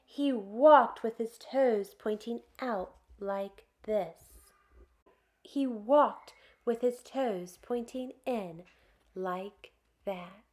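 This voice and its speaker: A woman reading aloud to young children as a storytime host, expressive, stretching out key words and putting questions to her listeners. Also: a little high, drawn-out words.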